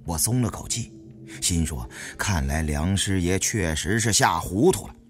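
A man's voice: sits low, expressive, unhurried, faint music behind. A man narrating an audiobook as dramatic first-person storytelling.

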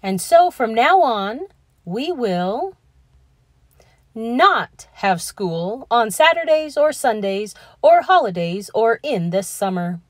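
Woman voicing a proud, exuberant school principal, high and swooping. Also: very animated, dry recording, studio quality. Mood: earnest. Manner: emphatic, effusive, drawn-out stresses. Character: proud, exuberant male school principal, middle-aged, earnest. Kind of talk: children's storybook character reading.

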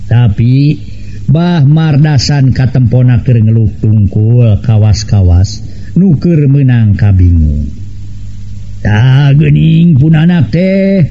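A man's voice: medium pitch, mellow, lo-fi sound. A man with a Sundanese accent narrating a folk tale and addressing his listeners as a storyteller.

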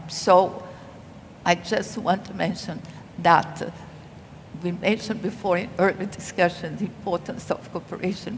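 An older woman speaking on a conference panel in a measured, deliberate way, stressing key points. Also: lowish voice, creaky, slight background noise. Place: large conference hall stage. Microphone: headset. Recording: reverberant hall, faint ambience.

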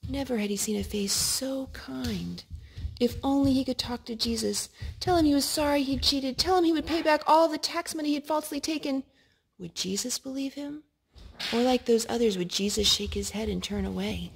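A woman reading a picture book aloud to a child in an expressive way, pausing to ask questions. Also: sits high, creaky, a little hiss or hum, low-quality recording. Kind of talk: storytime read-aloud. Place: living room indoors at a couch.

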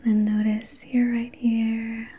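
A woman whispering softly and breathily as an ASMR host, guiding relaxation in a soothing, gentle, unhurried manner. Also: on the high side, flat delivery, breathy edge. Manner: soothing, slow, gentle personal attention. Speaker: ASMR host and reiki practitioner.

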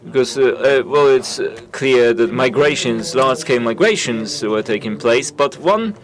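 Halting male conference interpreter. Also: medium pitch, expressive. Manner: halting, full of filler sounds and restarts. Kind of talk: simultaneous interpretation of conference talks.

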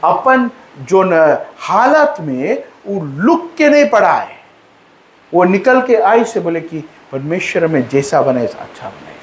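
A man's voice: high voice, big pitch swings, slow pace, slight background noise. A man preaching a sermon and leading prayer, exhorting with repeated refrains of praise and slipping English phrases into his speech. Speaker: preacher leading a church service.

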